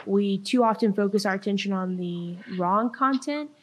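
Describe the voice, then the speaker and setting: A woman's voice: mid-range voice, normal speed. A young woman who grew up in China, earnestly explaining her views as a podcast guest. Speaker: podcast guest, workshop leader. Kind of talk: podcast discussion.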